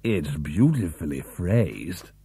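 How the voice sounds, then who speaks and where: A man acts out a nervous male Latin scholar in a storybook reading. He speaks in a mellow, husky voice, hesitant yet precise and bookish. The cassette recording is dry and close. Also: deep voice, big pitch swings, takes it slowly, no room sound, voice pushed down, heavily acted. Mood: absorbed, scholarly.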